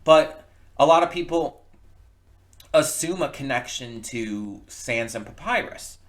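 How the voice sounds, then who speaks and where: A man giving casual opinion commentary as a reaction video host, speaking haltingly and often restarting his words. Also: mid-range voice, a bit bright.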